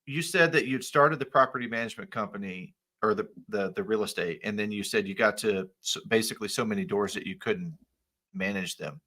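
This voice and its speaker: A man hosting a podcast for tradespeople. He introduces the show and asks earnest questions with some halting restarts. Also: on the low side, pushed and bright. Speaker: podcast host. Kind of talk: podcast intro and casual interview.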